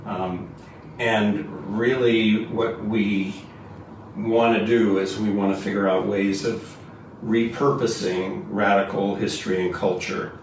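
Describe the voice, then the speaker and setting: A man with a deep voice gives a reflective interview answer in short, deliberate phrases with pauses. He takes his time, and the sound is heavily echoey. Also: fairly even intonation. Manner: deliberate, pausing between phrases. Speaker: archive co-founder, organizer, interview guest. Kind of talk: reflective explanatory interview talk. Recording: very echoey room, distant mic, faint noise.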